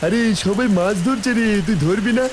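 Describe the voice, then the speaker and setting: A man's voice: sits high, brisk, noisy background, natural voice. A man voicing blunt, folksy village men in a cartoon, such as an uncle or a vegetable seller. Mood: chatty. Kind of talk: Bengali cartoon voice acting.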